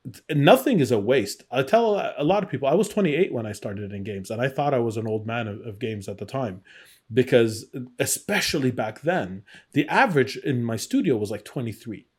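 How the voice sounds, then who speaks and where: A man's voice: mid-range voice, animated, brisk, a little mellow, breathy edge. A middle-aged man born in Iraq, talking candidly as an interview guest and acting out remembered remarks as he tells his story. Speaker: interview guest, game designer. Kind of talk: reflective personal interview, conversational storytelling.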